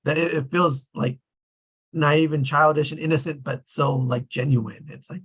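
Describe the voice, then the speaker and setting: Man, slightly high pitch, husky, casual discussion host, rambling, tentative. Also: fairly even intonation, normal speed, fairly bright tone.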